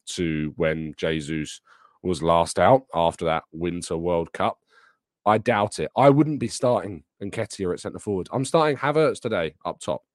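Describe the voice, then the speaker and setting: A man with a raspy, hoarse voice from a sore throat, hosting a live show and casually answering chat questions. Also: sits low, dry recording, clean studio sound. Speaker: podcast host. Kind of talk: live transfer news and chat Q&A.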